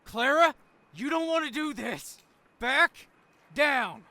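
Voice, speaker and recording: An actor playing a tense, resigned truck driver in an audio drama, forceful and agitated. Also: male voice, very high voice, lively intonation, slow pace, heavily acted. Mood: urgent warning.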